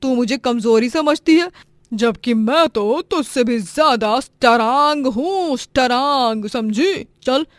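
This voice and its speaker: A high-voiced, domineering middle-aged mother-in-law in a cartoon moral tale. She is greedy and bossy, scolding and lamenting with great theatrical drama. The sound is close and dry. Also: expressive, slow pace, dry recording. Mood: haughty, bossy. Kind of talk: animated Hindi moral-story voice acting.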